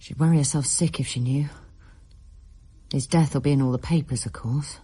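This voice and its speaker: A woman's voice: very deep voice, fairly even intonation, normal speed, warm tone, husky. A woman playing a protective, firm mother in a radio drama, with a maternal manner. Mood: stern.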